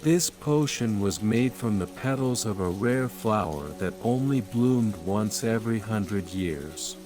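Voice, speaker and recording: A man narrating a scripted bedtime fairytale in a measured, soothing manner. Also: on the low side. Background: rain and calm music.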